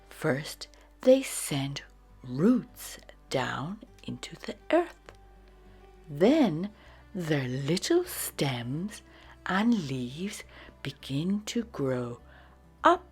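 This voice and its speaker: A woman reading a children's storybook aloud in a breathy voice, with deliberate, expressive delivery. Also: low-pitched. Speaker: storybook narrator. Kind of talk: children's read-aloud narration.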